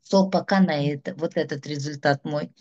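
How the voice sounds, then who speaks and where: A woman's voice: deep voice, steady tone, moderate pace, darkish tone. A middle-aged woman, giving a chatty, rambling personal testimonial about her health. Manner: chatty, rambling, listing ailments. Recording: dull lo-fi sound.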